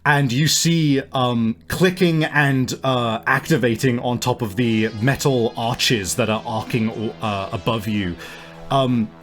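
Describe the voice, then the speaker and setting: A man working as a game master, improvising tabletop game narration in a halting, piece-by-piece way. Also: mid-range voice, a bit bright. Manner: halting, pieces scenes together as they go. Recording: music playing behind the voice. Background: music.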